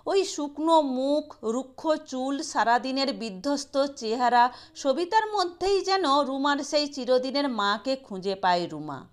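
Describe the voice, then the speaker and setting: A woman's voice: high-pitched, animated, measured pace. A woman narrating a literary short story expressively as a storyteller.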